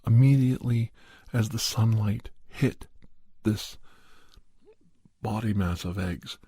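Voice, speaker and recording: A man playing a serious doctor, telling a horror story in a husky voice with deliberate, halting pauses for an ASMR podcast. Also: fairly low pitch, dark tone, no room sound, full character voice. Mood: measured, suspenseful. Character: male doctor recounting a creature hunt, measured and serious. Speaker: storyteller narrator.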